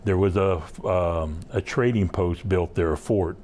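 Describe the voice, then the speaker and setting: Male guest from Southeast Alaska, deep and slow, relaxed storytelling. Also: a little hiss or hum. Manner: slow, unhurried, halting with many fillers. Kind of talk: casual interview storytelling.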